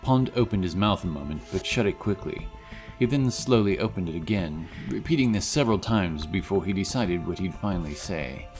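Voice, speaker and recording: A man's voice: low voice, lively intonation, fairly quick, darkish tone, soft background music. A man giving dramatic scripted narration of a fantasy story.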